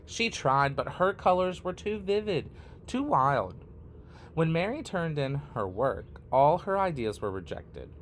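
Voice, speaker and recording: A man reads a children's picture book aloud with an expressive, animated delivery. He takes his time, leaving deliberate pauses between short phrases. His mid-pitched voice moves up and down freely, over faint background noise. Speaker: read-aloud storyteller.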